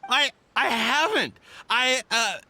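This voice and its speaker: An actor playing a nervous, flustered merchant in a video game, stammering and hesitant. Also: male voice, extremely high pitch, lively intonation, takes it slowly, pushed and bright, heavily acted. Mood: nervous, pleading. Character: nervous, flustered male merchant pleading innocence.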